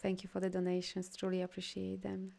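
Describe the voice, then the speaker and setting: A woman's voice: monotone, brisk, creaky, mellow. A woman with a Polish accent, an energy healer and coach, talking live to her viewers and answering comments in a heartfelt, affirming way. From Poland. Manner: heartfelt, affirming, rises in pitch and volume when emphatic.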